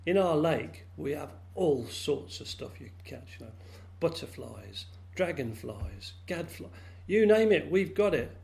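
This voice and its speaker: A man's voice: fairly high pitch, sing-song, a little slow, gravelly, few pauses, a little mellow, heavily acted. A man voicing a small, timid but helpful lake frog, earnest and hesitant, with a British accent, in a told story. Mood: enthusiastic.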